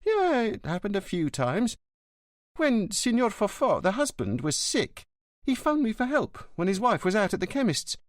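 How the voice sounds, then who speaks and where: A shrill, wailing old concierge woman, as a male narrator puts her on, her voice jumping very high and swinging wildly as she gossips with theatrical alarm. The sound is dry and close. It is a character voice in a read-aloud crime story. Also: huge pitch swings, normal speed, dry recording. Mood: indignant. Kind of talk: audiobook character voice.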